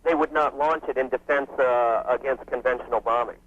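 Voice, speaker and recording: A man's voice: mid-range voice, steady tone, a little fast, fairly bright tone, lo-fi sound, slight background noise. An American man calling a radio talk show to put questions to a guest. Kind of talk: asking questions on a call-in show.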